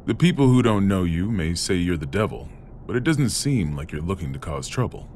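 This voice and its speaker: An actor playing a genial, shrewd older head of state in an animated game, plainspoken and authoritative. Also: male voice, sits low, fairly quick, a little hiss or hum. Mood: shrewd, probing. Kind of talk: dubbed animated game cutscene acting.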